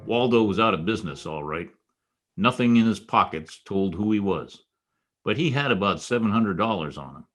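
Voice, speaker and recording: A hard-boiled American detective played by a man with a low voice. He speaks in clipped, slangy wisecracks, tough and wry, as in a 1940s radio mystery, with faint music behind him. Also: animated, moderate pace, a bit bright. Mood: dry, grim. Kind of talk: 1940s radio noir acting with first-person narration.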